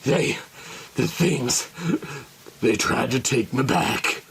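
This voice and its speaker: A man's voice: medium pitch, slow pace, gravelly, fairly bright tone, a little hiss or hum. A man voicing a terrified, haunted gangster on the run in a horror comic dramatization, trembling and prone to frantic cries. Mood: dazed, shaken.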